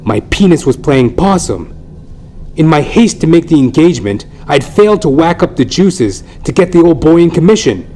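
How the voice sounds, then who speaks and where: A man's voice: fairly high pitch, big pitch swings, normal speed, mellow, a little hiss or hum. Theatrical man narrating a comic monologue. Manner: theatrical, mock-grandiose, wry. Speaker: comedy podcast host, storyteller. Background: music.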